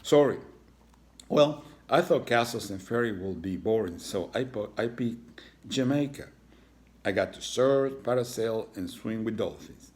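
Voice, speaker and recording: A man's voice: on the low side, expressive, a little slow, darkish tone. A man voicing a shy, nervous young lizard schoolboy in a children's storybook, speaking earnestly. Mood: earnest, defensive. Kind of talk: children's storybook read-aloud.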